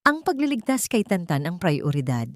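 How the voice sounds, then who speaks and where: A woman narrating a dramatic love story in a low but lively voice. The recording is close and dry, with no room sound. She reads every part herself. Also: moderate pace, no room sound.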